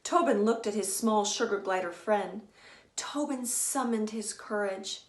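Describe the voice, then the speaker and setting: A woman author reading a children's story aloud in a deliberate, teacherly, emphatic way. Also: mid-range voice. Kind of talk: expressive children's storybook narration. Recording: echoey room.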